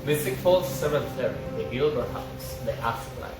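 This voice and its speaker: A man's voice: mid-range voice, animated, normal speed, music in the background. A man speaking as a fan, reading stage directions aloud or chatting casually. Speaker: fan table reader and commentator. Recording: echoey, far from mic, noisy room.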